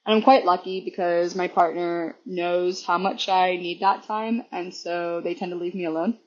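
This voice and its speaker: A hoarse woman guesting on a podcast, chatting casually and rambling with restarts and laughs. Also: lowish voice, fairly even intonation, normal speed. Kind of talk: casual chat. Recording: dull lo-fi recording.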